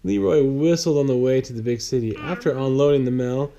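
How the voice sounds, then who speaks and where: A man's voice: mid-range voice, fairly quick, warm tone, lo-fi sound. A man reading a children's picture book aloud as a bedtime story.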